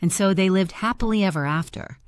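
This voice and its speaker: A woman narrating a children's fairy tale in a calm, even storytelling voice. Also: medium pitch, fairly quick. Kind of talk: scripted bedtime story narration.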